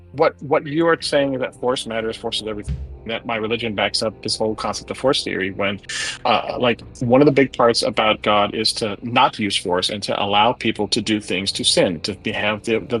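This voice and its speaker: Husky man stammering earnestly. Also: fairly low pitch, moderate pace, a bit bright, soft background music, poor audio. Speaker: debate participant. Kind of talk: live debate.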